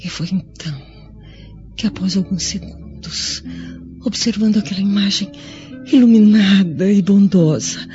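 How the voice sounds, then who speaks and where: Actress as a slow-spoken dying Roman noblewoman. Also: mid-range voice, warm tone, natural voice. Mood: solemn, serene. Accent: Brazilian. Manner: slow, formal, sorrowful, theatrical. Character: dying noble Roman widow, remorseful, solemn and tender. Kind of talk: radio drama acting. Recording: dull lo-fi audio with music behind.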